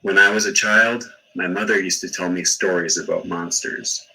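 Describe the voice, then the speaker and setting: A man reading out an emergency radio broadcast within a story, slowly and clearly. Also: deep voice, steady tone, pushed and bright, pitched below the performer's own voice, exaggerated voice, poor audio. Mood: somber. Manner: slow and clear.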